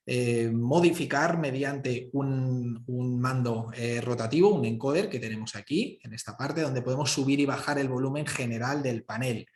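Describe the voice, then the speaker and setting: A man giving a technical webinar presentation, explaining step by step with frequent hesitations and filler words. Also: medium pitch. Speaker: product presenter. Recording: roomy, echoing voice.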